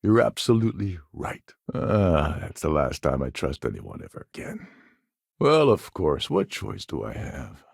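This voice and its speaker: An actor voicing a cynical, scheming space pirate pilot in a video game, with a gravelly, husky voice and a wry delivery. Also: male voice, extremely low pitch, sing-song, unhurried, no room sound, clean studio sound, exaggerated voice. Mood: dry, resigned. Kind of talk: video game character dialogue.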